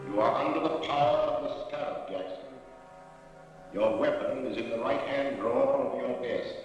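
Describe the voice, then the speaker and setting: An actor playing a sinister mastermind who gives slow, commanding orders in a 1940s film serial. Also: male voice, medium pitch, dull audio, lightly acted. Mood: menacing command. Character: sinister male mastermind villain, the Scarab, hypnotically commanding. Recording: echoey, far-off 1940s film soundtrack. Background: loud dramatic music.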